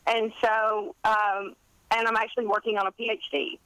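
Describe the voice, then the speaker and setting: A woman in her fifties telling stories in a chatty, animated, rambling and self-deprecating way, as an interview guest. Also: medium pitch, normal speed, clear, resonant tone, dry recording, low-quality recording. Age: 50s. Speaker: guest author and college English teacher.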